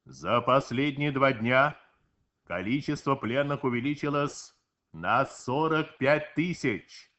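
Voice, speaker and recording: A man's voice: a little high, sharp tone. A man delivering a solemn, declamatory 1940s Soviet radio bulletin as a wartime announcer.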